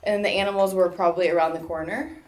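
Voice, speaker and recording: A woman's voice: on the low side, fairly even intonation, fast talker, a little hiss or hum. A woman in her thirties exploring a rocky cave with her family, chatting and marvelling at what she sees. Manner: chatty, marvelling, laughs easily. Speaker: vlogger and mother. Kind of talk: casual chat while exploring.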